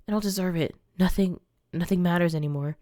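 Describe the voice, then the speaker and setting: A woman voicing a hurt, despairing young outcast girl who talks quietly and pities herself. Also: on the low side, fairly quick, no room sound, clean studio sound, close to an everyday voice. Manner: self-pitying, quiet.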